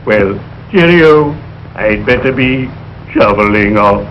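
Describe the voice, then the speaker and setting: An actor playing a solemn, punning undertaker with a measured, formal delivery, in old sitcom style. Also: male voice, on the low side, animated. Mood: mock-solemn. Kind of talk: 1940s-50s broadcast sitcom acting. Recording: muffled, noisy old broadcast recording.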